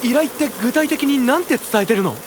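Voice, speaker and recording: A woman's voice: sits high, expressive, brisk, slight background noise. A woman voices a wary, exasperated young knight in an anime audio drama, given to wry questions and retorts.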